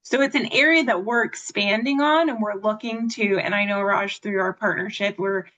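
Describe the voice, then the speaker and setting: A woman moderates a video call in an organized way, guiding the conversation. Her pitch sits in a middle range. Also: normal speed, a bit bright, low-quality recording. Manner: organized, facilitating.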